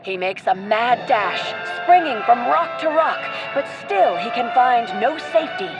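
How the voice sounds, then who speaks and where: A woman's voice: on the high side, lively intonation, measured pace, muffled recording. A woman narrator reading scripted adventure storytelling in a theatrical, literary manner. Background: music.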